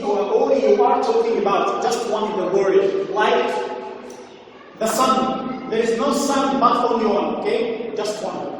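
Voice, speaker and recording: Male English teacher, high voice, filler-heavy explaining, distant echoey recording with faint hiss. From Egypt. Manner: repeats phrases, frequent "uh" and "okay?" checks. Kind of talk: recorded grammar lesson.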